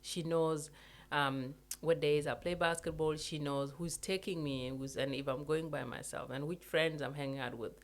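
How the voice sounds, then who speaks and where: An earnest young adult speaker, chatting casually at a middle pitch. They circle back to make their point again and laugh lightly now and then. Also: fairly even intonation, normal speed. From South Sudan. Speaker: guest in a conversation. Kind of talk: casual chat.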